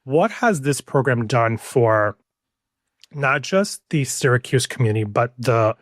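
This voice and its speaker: A man's voice: mid-range voice, expressive, unhurried, dry recording. Male podcast host, dry sound. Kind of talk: conversational podcast interview.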